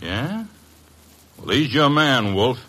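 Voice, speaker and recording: Blunt, forceful radio tough guy. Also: medium pitch, sing-song, slow, heavily acted. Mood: accusing. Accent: American. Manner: streetwise, blunt, slangy. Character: blunt, slangy tough guy, hot-tempered male murder witness. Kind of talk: old-time radio crime drama acting.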